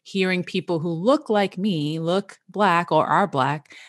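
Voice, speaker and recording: A woman's voice: on the low side, unhurried, no echo, clean studio sound. A woman in her forties hosting a podcast over a video call, talking earnestly and reflectively with many "like" fillers. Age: 40s. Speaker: podcast host. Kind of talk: casual video-call chat. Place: small room at home. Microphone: headset with desk mic on a video call.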